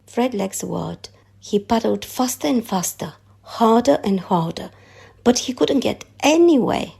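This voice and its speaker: A woman narrating a children's story aloud in an expressive read-aloud style. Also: a little high, a little slow, creaky, slightly rough.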